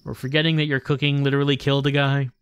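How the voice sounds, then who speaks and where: A man live-streaming a visual novel, reading the text aloud with wry, deadpan asides. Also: medium pitch, very fast, smooth.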